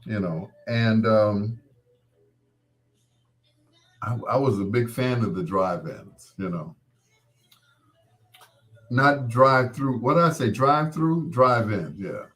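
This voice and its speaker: A man's voice: on the low side, expressive, takes it slowly, long pauses, fairly bright tone. An American man in his sixties hosting a casual live chat, reminiscing in a rambling, conversational way and repeating points for emphasis. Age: 60s. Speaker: host.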